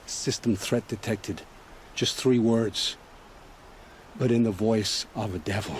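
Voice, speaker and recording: A fearful informant, noise behind him. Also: male voice, lowish voice, slow pace. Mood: awed dread. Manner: dramatic, fearful, vivid storyteller. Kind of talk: video game cutscene acting.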